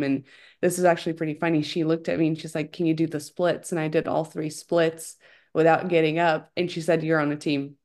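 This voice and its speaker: A woman's voice: deep voice, a little mellow. A young woman from Ukraine, a former professional dancer, chatting as a guest and telling her story in a friendly way. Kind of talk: casual interview.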